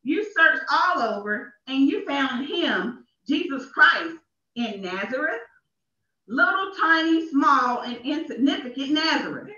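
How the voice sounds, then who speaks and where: A woman preacher speaks in a high voice, giving an emphatic sermon with deliberate repetition. She is heard at a distance in a small, echoey church. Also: expressive, sharp tone. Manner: emphatic, repeats phrases for effect. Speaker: minister. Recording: echoey, dull church livestream from off.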